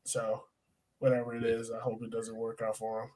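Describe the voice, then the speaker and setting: A man's voice: mid-range voice, completely flat delivery, fairly quick, darkish tone, poor audio. A man co-hosting a sports podcast, chatting casually in informal, slangy language and often turning to his co-host.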